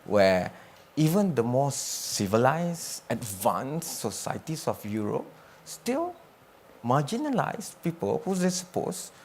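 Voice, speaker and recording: Male panel moderator, probing, long-winded questions, mid pitch. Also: very animated, takes it slowly, darkish tone, slight background noise. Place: conference panel stage.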